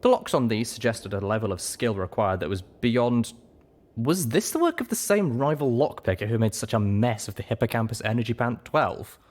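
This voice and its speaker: A man reading a story aloud as expressive, scripted first-person narration. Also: mid-range voice, normal speed. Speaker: audiobook reader.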